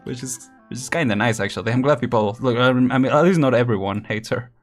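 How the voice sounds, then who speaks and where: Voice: medium pitch, quick pace, soft background music. A streamer giving casual let's-play commentary, chatty and laughing often while thinking aloud.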